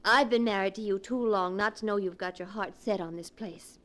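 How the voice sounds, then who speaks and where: An actress playing an uneasy, devoted young wife in a 1940s radio drama, speaking in an earnest, careful, dramatic way. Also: female voice, mid-range voice, fairly quick, fairly bright tone, natural voice. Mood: reassuring.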